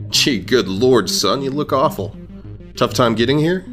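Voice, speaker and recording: A man's voice: mid-range voice, animated, unhurried, a bit bright. Man as genial older corporate boss, music behind. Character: genial older male corporate executive, grey-haired boss. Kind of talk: audio fiction character reading.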